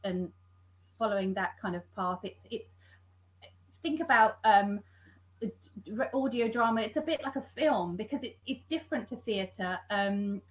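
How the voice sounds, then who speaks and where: A woman scriptwriter on an online panel, talking thoughtfully, with many restarts and pauses. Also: medium pitch, low-quality recording. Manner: hesitant, many restarts and fillers. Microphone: video call.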